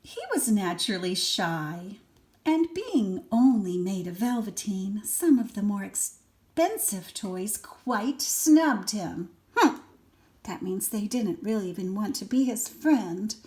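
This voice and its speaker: Slow-paced grandmother reading a storybook. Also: mid-range voice, expressive. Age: older. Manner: gentle explaining to a small child, murmured asides. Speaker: grandmother reading a picture book aloud. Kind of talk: children's story read-aloud with chatty asides.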